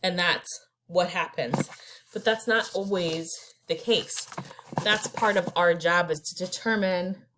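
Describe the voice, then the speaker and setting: A woman's voice: fairly low pitch, takes it slowly, noisy background. A woman teaches from her slides. She keeps it conversational and unpolished, with fillers and restarts between her points. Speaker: instructor. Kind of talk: recorded slide lecture.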